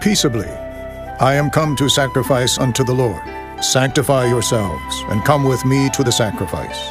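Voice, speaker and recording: An actor playing a stern, unyielding old Hebrew prophet in dramatized scripture audio, speaking in a solemn, measured declamation. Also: male voice, medium pitch, over music, natural voice. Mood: commanding.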